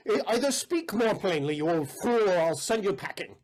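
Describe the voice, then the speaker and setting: A male actor plays an imperious, jealous nobleman in a period stage comedy. The delivery is haughty and theatrical. The pitch moves widely from phrase to phrase. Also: big pitch swings, normal speed, crowd noise. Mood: exasperated. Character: jealous, imperious count, suspicious of his servant. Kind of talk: comic period stage acting.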